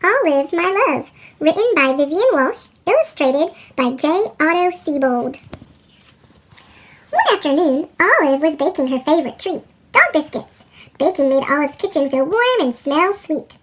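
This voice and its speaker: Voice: high voice, big pitch swings, moderate pace. A storyteller with a sped-up chipmunk voice effect, reading a children's picture book expressively. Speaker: read-aloud storyteller.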